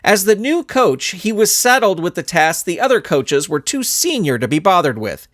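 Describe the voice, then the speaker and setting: A man narrating a scripted comic story in an expressive storytelling manner. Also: high voice, normal speed, no room sound, clean studio sound. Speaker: author and narrator.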